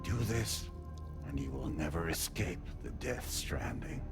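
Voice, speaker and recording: An actor playing an imperious, menacing antagonist in a video game cutscene, with a theatrical, threatening delivery. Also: male voice, very deep voice, animated, measured pace, over loud music. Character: menacing, imperious male antagonist demanding answers.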